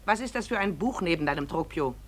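An actress playing a snobbish, scornful wife in a literary radio drama, with a haughty and cutting manner. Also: female voice, on the low side, expressive, quick pace, slight background noise, close to an everyday voice.

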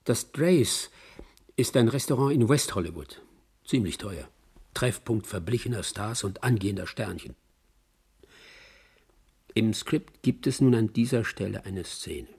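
An actor playing a sober, matter-of-fact male narrator in a scripted radio drama, his voice husky and raspy. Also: fairly low pitch, lively intonation, a little slow, long pauses, a little mellow, exaggerated voice.

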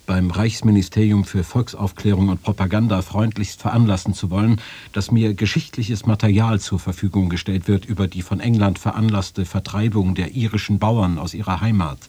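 A man's voice: on the low side, steady tone, moderate pace, warm tone, husky. A man playing a deferential writer reading out his formal letters in a radio feature. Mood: earnest, formal. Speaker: quote reader in a radio feature.

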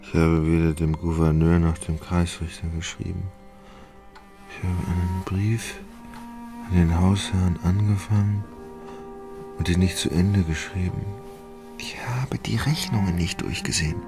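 An actor playing a lethargic, fretful Russian gentleman in a radio play, querulous and full of whining self-pity. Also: deep voice, steady tone, unhurried, pauses often. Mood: brooding, self-pitying. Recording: music behind the voice.

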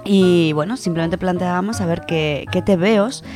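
A woman's voice: fairly low pitch, normal speed, over music. A woman with an accent from Spain presenting a radio show, chatting informally as she reads out listener contributions. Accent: Spanish from Spain. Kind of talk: casual radio chat, reading out listener contributions.

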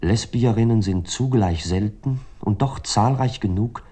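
A man narrating scripted literary prose, reading long sentences in a formal, measured way. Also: on the low side, dark tone, breathy edge.

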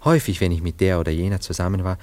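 A man's voice: low voice, steady tone, rapid-fire, a little mellow, lightly acted. An actor in a radio play, playing a nostalgic, self-absorbed romantic man who muses theatrically. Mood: wistful. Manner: wistful, musing, theatrical, savoring his words. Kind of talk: German radio play acting.

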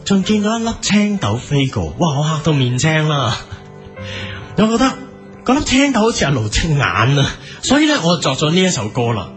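Lively, high-voiced man, Hong Kong Cantonese accent. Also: brisk. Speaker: boy band songwriter. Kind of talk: mock-serious comic storytelling. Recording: light music behind the voice.

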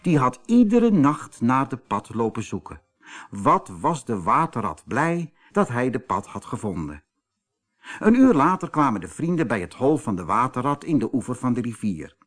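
A man narrating a children's audiobook as an expressive storyteller. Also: mid-range voice, moderate pace, soft background music.

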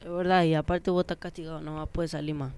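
A woman's voice: deep voice, level tone, quick pace, darkish tone. A woman playing a casual, bossy older sibling in improvised radio theatre, speaking colloquially and hesitantly. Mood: scolding. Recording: noisy amateur recording with clicks.

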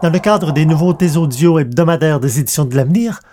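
A man's voice: a little high, brisk, dark tone, a little hiss or hum. A man reading scripted podcast announcements as host.

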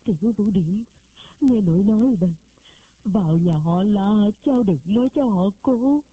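A woman's voice: mid-range voice, animated, normal speed, husky, dry recording. A woman voicing a sick, anxious elderly grandmother who gives insistent, urgent instructions in a storybook reading. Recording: tinny phone-like recording.

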